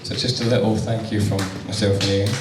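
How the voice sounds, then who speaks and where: A man with a husky voice giving an unprepared wedding thank-you speech as the groom, hesitant with many ums. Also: lowish voice, little pitch movement, a little fast, very noisy. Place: wedding reception hall with guests. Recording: echoey hall, distant mic, loud crowd.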